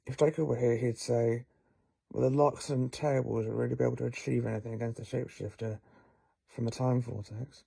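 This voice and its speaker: Eccentric professor and time traveller, male actor, audio drama. Clever, take-charge, musing; gravelly edge, soft dark tone. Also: medium pitch, steady tone, a little fast, poor audio. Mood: brisk, absent-minded.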